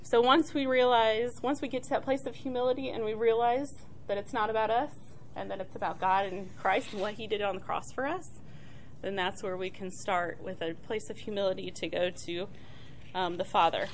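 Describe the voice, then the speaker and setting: A middle-aged woman, soft-spoken and chatty, leading a casual church talk on prayer with many fillers and restarts. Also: medium pitch, fairly even intonation, normal speed, slight background noise, low-quality recording.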